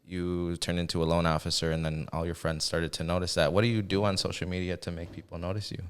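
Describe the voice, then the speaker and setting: A man with a very deep, low voice co-hosts a casual podcast and asks hesitant, rambling questions. A faint hiss sits behind his voice. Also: level tone, quick pace. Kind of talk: casual interview chat.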